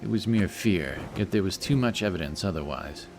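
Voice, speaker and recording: A man with a very low voice narrates a fantasy tale in scripted audiobook style. Clear music plays behind him throughout. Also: animated, moderate pace.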